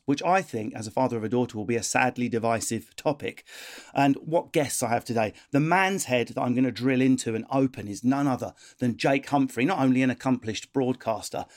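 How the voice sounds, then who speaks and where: A man hosting a comedy podcast, cheeky and playful with jokey asides. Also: mid-range voice. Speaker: podcast host. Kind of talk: comic podcast chat.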